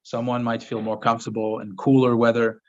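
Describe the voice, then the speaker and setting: A man whose first language is French chats loosely through a live-stream Q&A, his mid-pitched voice full of "you know" and "right?" as he restarts his sentences. The audio is a little dull and muffled, like a modest live-stream setup. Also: brisk. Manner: relaxed, rambling, with frequent fillers and restarts. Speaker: host of a live Q&A. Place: home office in Southern Ecuador.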